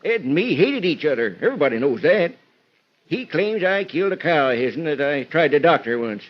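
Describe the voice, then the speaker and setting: High-pitched crusty old country constable. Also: male voice, big pitch swings, a little fast. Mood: grumbling, insistent. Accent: rural American. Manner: folksy, cantankerous drawl. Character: crusty old small-town constable, folksy and cantankerous. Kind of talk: 1940s radio acting. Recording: dull vintage radio recording.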